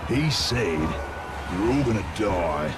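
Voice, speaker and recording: An actor playing a jokey, bloodthirsty orc rogue in a fantasy game, with a wry, gravelly drawl. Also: male voice, lowish voice, big pitch swings, takes it slowly, over a noisy crowd, heavy background noise. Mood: wry, amused. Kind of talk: comic fantasy game acting. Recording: loud music over dialogue.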